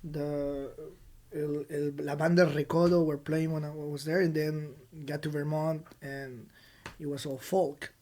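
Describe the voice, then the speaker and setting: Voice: medium pitch, level tone, unhurried, a little mellow. A podcast guest from Peru chatting casually as a music industry expert, often repeating words and quoting imagined dialogue mid-story. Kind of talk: casual chat, anecdotes.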